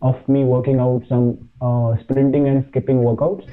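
A man's voice: medium pitch, level tone. Indian man on a tinny line. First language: Hindi. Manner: halting, many fillers and restarts. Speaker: podcast guest, fitness YouTuber. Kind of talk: casual chat.

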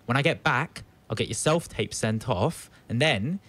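An actor playing a brisk, businesslike young man in a naturalistic audio drama, casual and practical. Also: mid-range voice, animated, a bit bright. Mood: brisk, insistent. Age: young adult.

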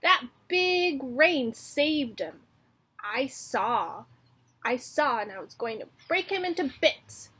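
A woman's voice: high voice, lively intonation, takes it slowly, bright, forceful tone, slightly rough, exaggerated voice. A woman voicing a boastful, threatening little male mouse in a children's storybook, with bragging, emphatic delivery. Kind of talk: children's storybook read-aloud.

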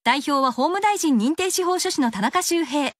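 A woman's voice: high-pitched, lively intonation, moderate pace, fairly bright tone, no echo, studio quality. A woman reading a scripted radio commercial. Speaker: advertisement announcer.

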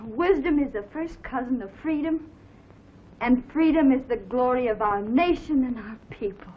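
Casting: actress as idealistic, effusive young woman, high voice, muffled vintage movie soundtrack. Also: normal speed, lightly acted. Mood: excited, dreamy. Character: eager, idealistic young woman starting college. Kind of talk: old Hollywood film acting. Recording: muffled, noisy old film soundtrack.

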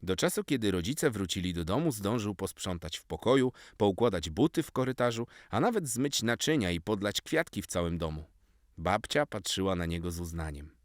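Low-pitched, expressive male storyteller. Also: a little fast, dry recording, clean studio sound. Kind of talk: expressive scripted children's story narration.

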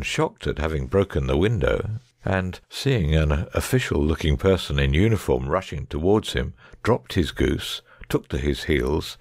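Man acting analytical gentleman detective, creaky low voice, very dry close sound, precise, wry, audiobook drama. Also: dry recording, heavily acted.

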